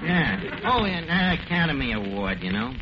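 An actor playing a brash, self-important tavern manager in a 1940s radio comedy, slangy bad grammar and mock-grand words. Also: male voice, fairly high pitch, big pitch swings, moderate pace. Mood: proud, sentimental. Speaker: comedy show host. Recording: dull, noisy old radio broadcast.

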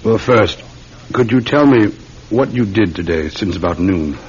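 An actor playing a dutiful, methodical British police sergeant in an old radio drama, polite and measured. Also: male voice, lowish voice, lively intonation, slightly rough, full character voice. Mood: patient, probing. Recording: dull, noisy old radio recording.

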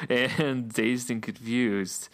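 A man co-hosting a podcast in casual chat, relaxed and chatty, laughing often, saying he is losing his voice. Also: medium pitch, drawn-out words. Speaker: podcast co-host.

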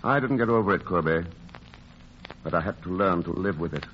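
An actor playing a brooding but good-hearted young painter with a husky voice in an old-time radio drama. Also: male voice, low-pitched, a little fast, a little hiss or hum, close to an everyday voice. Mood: subdued. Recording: muffled old radio recording.

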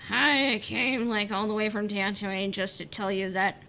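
Female podcast panelist, average pitch, loose and hesitant conversational delivery, faint rumble behind. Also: flat delivery, moderate pace, sharp tone. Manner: hesitant, peppers talk with "like". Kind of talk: casual chat.

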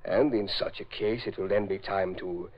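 An actor playing a coolly plotting, menacing Gestapo agent in a 1940s radio drama, speaking in a measured, formal way. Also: male voice, low voice. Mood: cold, conspiratorial. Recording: dull lo-fi 1940s radio recording.

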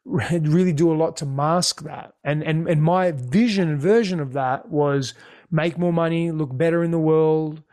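This is a man whose was Greek. He talks openly and reflectively as an interview guest, often doubling words mid-thought. His voice sits a little high for a man. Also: steady tone, moderate pace, a little mellow. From Australia. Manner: candid, repeats words when searching.

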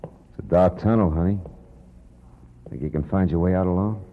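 An actor playing a hard-boiled, world-weary private eye who narrates in a dry, brooding tone, in a 1940s radio drama. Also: male voice, deep voice, moderate pace, natural voice. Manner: dry, brooding, tough-talking. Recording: muffled old radio broadcast with music.

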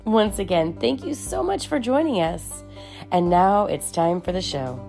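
A woman's voice: mid-range voice, expressive, measured pace, gravelly, over music. A woman hosting a podcast, giving an upbeat, friendly welcome and introducing her guest. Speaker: podcast host. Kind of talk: scripted show intro and interview questions.

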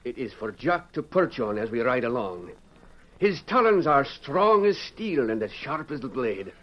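A fatherly old scottish falconer, hearty burr, animated, muffled vintage radio drama. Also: medium pitch, measured pace. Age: older. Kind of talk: 1940s radio acting. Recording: dull old radio recording with faint hiss.

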